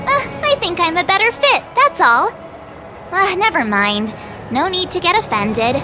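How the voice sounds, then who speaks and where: A woman's voice: extremely high pitch, exaggerated voice. A woman playing a cute, self-assured young girl adventurer in a video game, perky and earnest. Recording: game dialogue over background music.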